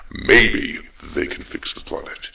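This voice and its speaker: A man playing a calm, steadfast robot soldier with a very gravelly voice in an audio drama. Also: slow, in a whisper. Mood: calm, hopeful.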